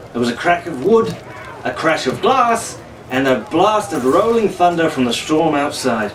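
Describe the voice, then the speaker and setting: A man narrating an adventure story in a dramatic, scripted audiobook style. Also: on the high side. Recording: roomy, distant mic, faint noise.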